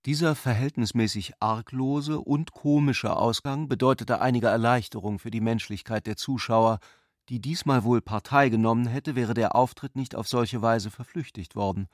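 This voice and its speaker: A man narrating a literary radio play in measured, carefully phrased long sentences. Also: mid-range voice, dry recording, studio quality, lightly acted.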